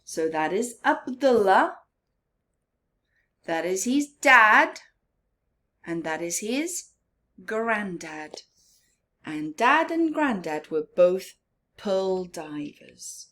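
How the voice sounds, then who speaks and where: A woman hosting a children's story time, reading aloud and pausing to explain things simply and ask young listeners questions. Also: medium pitch, big pitch swings, fairly bright tone.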